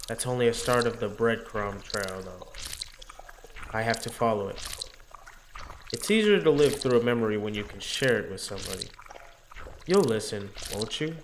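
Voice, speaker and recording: Man voicing anguished young woman, amnesiac, low pitch, macabre, theatrical, visual novel horror, noisy background. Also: expressive, moderate pace, pauses often. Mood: eager, confiding. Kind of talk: dramatic first-person visual novel narration.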